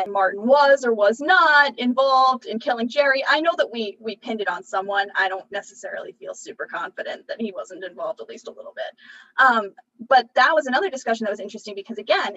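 A woman's voice: on the high side, highs cut off. Chatty young woman, slightly distant. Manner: chatty, laughs often, animated asides. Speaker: podcast co-host. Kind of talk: casual chat recapping a TV episode.

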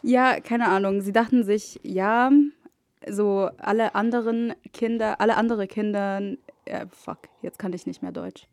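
A woman's voice: medium pitch, steady tone, measured pace, no room sound. A woman from Egypt, a native Arabic speaker, chatting as a podcast guest and often slipping English words into her talk. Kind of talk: casual chat.

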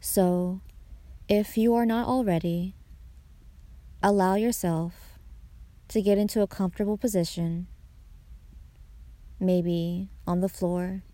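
A woman guiding a meditation in a soothing way, pausing between short phrases. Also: fairly low pitch, mellow, no echo. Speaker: meditation guide, podcast host. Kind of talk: guided meditation narration.

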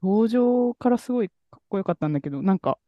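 A man co-hosting a podcast, telling a story in a relaxed, rambling way with many fillers. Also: high voice, fast, no room sound, highs cut off. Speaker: podcast co-host. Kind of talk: casual chat about music.

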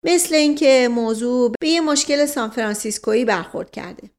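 A woman acts a wisecracking male aristocrat in a comic audiobook. He is wry, teasing and flippant. The voice is on the high side. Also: natural voice. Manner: wry, teasing, catchphrase-prone.

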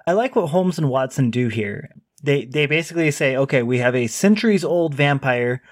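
A man's voice: mid-range voice, lively intonation, normal speed, no room sound, studio quality. A man co-hosting a podcast, talking casually about a book and reading a sponsor plug. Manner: conversational, reads a sponsor plug. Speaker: podcast co-host. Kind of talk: casual book discussion and ad read.

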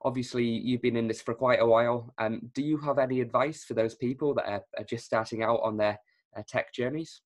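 A man's voice: mid-range voice, fairly even intonation, a little fast. A man who hosts a tech podcast, asking questions over a video call in a casual way, with fillers like "um.". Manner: friendly, filler-heavy questioning. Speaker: recruiter and podcast host. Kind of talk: casual interview hosting.

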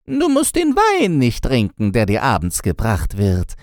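A man's voice: medium pitch, wildly swooping pitch, a little slow, dry recording, clean studio sound, pitched above the performer's own voice. A man voicing an old woman who gives sly, knowing advice in a fairy tale audiobook. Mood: knowing, advising.

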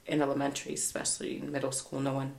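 Twenties woman guest, from Canada, low creaky voice, loose and chatty. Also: completely flat delivery, rapid-fire, darkish tone, reverberant. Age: 20s. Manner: chatty, laughs often, many fillers. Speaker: podcast guest. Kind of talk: casual podcast chat.

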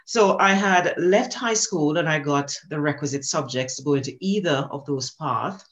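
A middle-aged woman from Jamaica with a Jamaican accent, a guest on an interview podcast who tells stories about her teaching career in a warm, animated way and laughs often. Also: deep voice, normal speed. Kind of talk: conversational storytelling.

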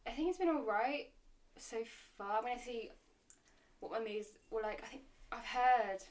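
A woman's voice: a little high, moderate pace. A nineteen-year-old woman chatting casually as a podcast guest. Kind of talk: casual chat.

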